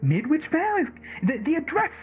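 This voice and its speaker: A man's voice: extremely high pitch, huge pitch swings, moderate pace, full character voice. An actor playing an agitated, obsessive conspiracy caller in a radio drama, stammering, repeating himself and swearing as he rambles. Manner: stammering, repetitive, profane rambling. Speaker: caller on a late-night radio show. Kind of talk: audio drama acted as casual talk radio. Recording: tinny phone-like line with background music.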